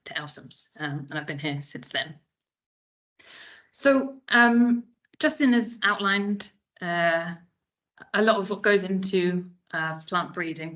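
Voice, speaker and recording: A woman in her thirties from South Wales talks through a science presentation in a measured, explanatory way, pausing on ums. Her voice sits at an ordinary pitch for a woman. The audio is dull and lo-fi, like a webinar feed. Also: fairly bright tone. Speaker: genomics specialist presenting a webinar.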